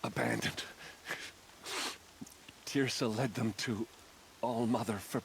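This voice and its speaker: An actor playing a weary, grave warrior in a video game, speaking in a halting, solemn way. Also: male voice, medium pitch, sing-song, creaky, background noise, exaggerated voice. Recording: faint rainy game ambience.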